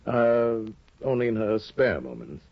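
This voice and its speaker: An actor playing a sly, scheming older judge in a 1950 radio drama, insinuating and hesitant, on a dull old broadcast recording. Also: male voice, fairly low pitch, very animated, vocal fry, dry recording, heavily acted. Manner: insinuating, hesitant with drawn-out ums. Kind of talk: 1950s radio drama acting.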